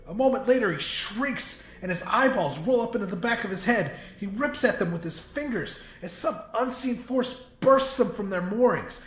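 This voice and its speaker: A man's voice: high-pitched, a bit bright. A man narrating a first-person horror audiobook in an intense, emphatic, dramatic style. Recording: roomy echo, music behind.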